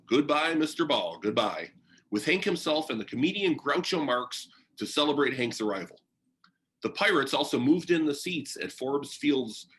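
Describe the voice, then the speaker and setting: A man's voice: medium pitch, fairly bright tone. A man reading a biography aloud as narration, in the style of a book for young listeners.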